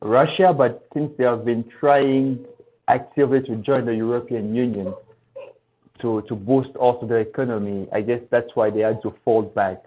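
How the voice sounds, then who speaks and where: Man, from central africa, mid-pitched, tinny phone-like audio, explanatory panel chat. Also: fairly even intonation, normal speed. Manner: thoughtful, explanatory, restarts mid-phrase. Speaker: discussion panelist. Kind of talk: casual live-stream discussion.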